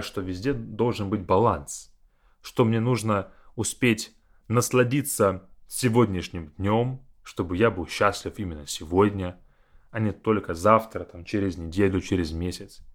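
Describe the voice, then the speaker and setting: A man, a Russian teacher hosting a podcast, talking in a casual, thoughtful monologue, slow and deliberate. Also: fairly low pitch.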